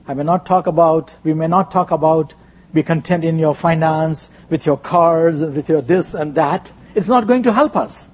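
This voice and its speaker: High-voiced Indian male preacher, husky. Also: normal speed. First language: Gujarati. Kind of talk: sermon delivered in short phrases for an interpreter.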